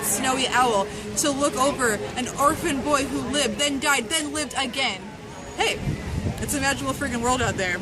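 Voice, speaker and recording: A woman giving a playful, mock-serious dramatic reading of a humorous essay. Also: high-pitched. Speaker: reader of a fan zine. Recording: noisy outdoor urban recording with traffic.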